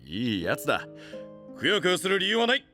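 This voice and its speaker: A man playing a blunt, big-hearted young knight with rough, punchy speech in an anime voice drama. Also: fairly high pitch, wildly swooping pitch, brisk, bright, forceful tone, faint music behind, exaggerated voice. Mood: blunt, encouraging. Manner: rough, brash, punchy delivery.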